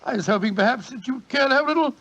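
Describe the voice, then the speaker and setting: An actor playing a bumbling, gallant English gentleman with a British accent and a stammering, blustering manner, in 1940s radio style. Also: very high-pitched, lively intonation, very fast, highs cut off, full character voice. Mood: flattered, jovial.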